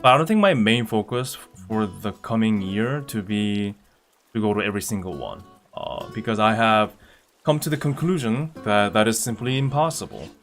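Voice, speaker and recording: A young man from Indonesia chats loosely with his viewers, full of fillers and restarts. His voice sits on the low side. Soft guitar music plays faintly underneath. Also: moderate pace. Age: young adult. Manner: relaxed, rambling with fillers and restarts. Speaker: streamer. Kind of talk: casual chat reading viewer comments.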